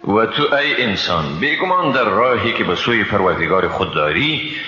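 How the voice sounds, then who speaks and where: A man with an Afghan Dari accent reads a scripture translation in a solemn, measured, formal declamatory style. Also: on the low side, sing-song, a crowd in the background. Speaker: Quran translation reader. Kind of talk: solemn scripted religious reading. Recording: echoey hall reverb, slightly dull sound.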